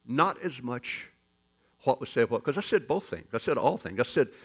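A man pastor preaching a sermon as folksy, joking storytelling, with sudden loud rises in his delivery. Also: medium pitch, sing-song, moderate pace, husky.

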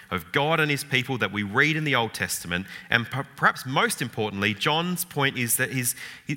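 A man's voice: mid-range voice, bright, forceful tone. A man giving an informal Bible lecture, thoughtful, with frequent fillers, restarts and rhetorical questions. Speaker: Bible teacher. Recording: long hall reverb.

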